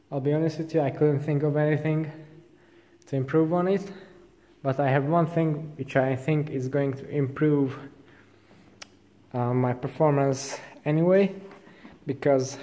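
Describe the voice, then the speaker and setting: A young man in a casual vlog, explaining his bike gear in a relaxed, informal way. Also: a little high, big-room sound. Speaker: vlogger. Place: large indoor bike workshop.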